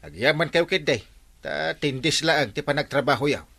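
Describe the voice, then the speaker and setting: An Ilocano man playing a firm, scolding village captain in a radio comedy drama, admonishing with drawn-out interjections. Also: fairly high pitch, lively intonation, creaky, pushed and bright, exaggerated voice. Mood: instructing.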